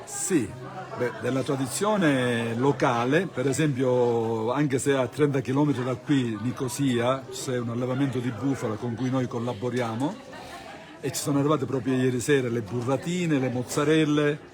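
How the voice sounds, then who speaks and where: A man's voice: medium pitch, moderate pace. Crowd chatter fills an outdoor festival stand. A man with a mid-range voice talks casually as a vendor being interviewed about his stall.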